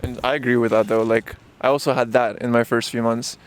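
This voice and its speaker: A man's voice: mid-range voice, level tone, quick pace, slight background noise. A man in his twenties, chatting casually in an outdoor park interview with lots of fillers. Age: 20s. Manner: chatty, lots of likes and ums.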